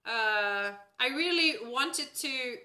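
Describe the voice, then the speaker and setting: A woman's voice: high voice, animated, takes it slowly, sharp tone, roomy sound. A woman from the Netherlands, a native Dutch speaker, hosting a casual live-stream astrology reading at home and often checking in with her viewers by asking "right?".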